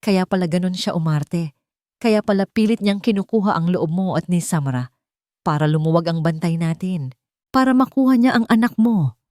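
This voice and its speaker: A woman's voice: lowish voice, moderate pace, warm tone, no echo, clean studio sound, lightly acted. A woman voicing a cold, scheming elderly mother-in-law in an audio drama, manipulative and urgent. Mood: tearful, agitated.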